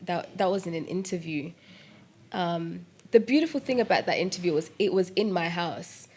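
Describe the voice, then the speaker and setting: A young woman musician chatting in an interview about making her music, lively and laughing, with lots of "like" and "um". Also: lowish voice, normal speed, breathy edge. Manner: animated, laughing, fillers like "like" and "um". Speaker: musician and producer guest. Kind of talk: casual interview chat.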